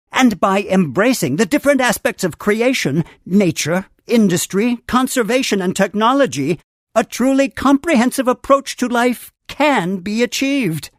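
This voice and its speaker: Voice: mid-range voice, no room sound, studio quality, lightly acted. An actor voicing a wise, earnest male creature mentor in a video game, with a formal, theatrical storytelling delivery. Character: wise male Funkey mentor, grand, earnest tree guardian. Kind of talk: scripted video game character dialogue.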